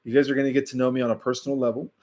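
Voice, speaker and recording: A man whose first language is Spanish, hosting a casual livestream and answering viewer questions in a slow, deliberate way with frequent fillers. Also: mid-range voice, flat delivery, darkish tone.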